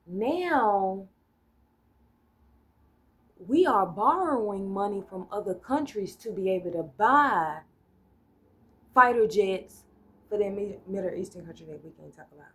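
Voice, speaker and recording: A woman's voice: medium pitch, expressive, a little slow, pauses often, a bit bright. An American woman ranting casually on a solo vlog. She is blunt and profane, rambles, and loses her train of thought. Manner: talks things through with hypotheticals and "right?" checks. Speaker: solo vlogger. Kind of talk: casual political rant.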